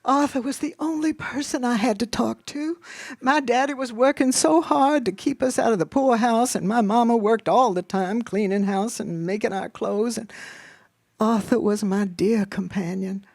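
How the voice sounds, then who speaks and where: A woman's voice: a little high, moderate pace, gravelly, darkish tone, no echo, clean studio sound, voice pushed up. Woman acting a blubbering, tipsy mama. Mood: tearful remorse. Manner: blubbering, pleading, overwrought. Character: remorseful, gin-drinking Southern mother, tearful.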